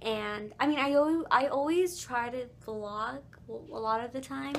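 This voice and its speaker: A young woman with a raspy voice, chatting casually in a home vlog, apologetic and full of ums and likes. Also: sits high, measured pace, fairly bright tone. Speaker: vlogger. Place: bedroom at home. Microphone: phone held at arm's length.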